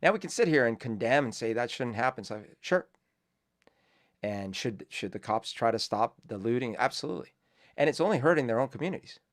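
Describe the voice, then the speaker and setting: A young man from Colombia talks through his thoughts on his own show, casual and reflective. He rambles with fillers and restarts. His voice sits at an ordinary middle pitch. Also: expressive, a little fast. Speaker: host. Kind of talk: casual commentary.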